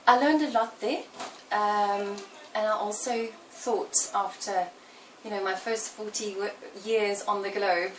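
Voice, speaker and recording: A woman in her forties from Belgium, talking in a relaxed interview and thinking aloud with fillers and restarts. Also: mid-range voice, a little slow, hardly any pauses. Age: 40s. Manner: thoughtful, with fillers and self-corrections. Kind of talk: casual interview. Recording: roomy, distant mic with faint noise.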